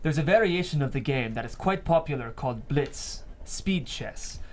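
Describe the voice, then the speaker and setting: A man voicing a stern, patient crime boss in a drama table read, measured and deliberate. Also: mid-range voice, animated, darkish tone, lightly acted. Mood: measured, lecturing.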